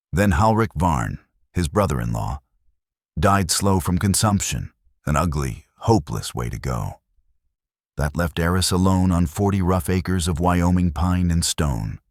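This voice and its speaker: Male storyteller for Western narration: rock-bottom low register, dramatic measured delivery, dry studio-like sound. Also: no room sound. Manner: dramatic, measured storytelling in short weighted phrases.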